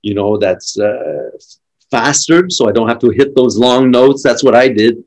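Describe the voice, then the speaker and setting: A man's voice: medium pitch, measured pace. French-accented man telling stories. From France. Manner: animated storytelling with frequent restarts. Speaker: drummer and podcast guest. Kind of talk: casual conversation.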